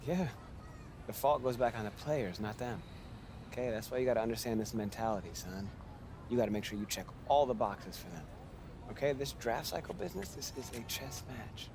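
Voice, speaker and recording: A man's voice: medium pitch, a little fast, noisy background, close to an everyday voice. A man acting a blunt, streetwise American basketball mentor in a game cutscene, firing rhetorical questions with emphasis. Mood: earnest lecturing. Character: seasoned basketball mentor, blunt, preaching hard realism to youngster.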